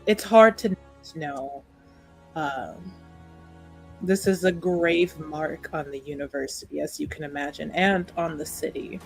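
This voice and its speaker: A woman's voice: low-pitched, unhurried, faint music behind, pitched below the performer's own voice, rough recording. A woman playing a guarded, aggrieved, well-to-do city woman in a tabletop roleplay, with curt, careful phrasing. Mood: guarded, grave.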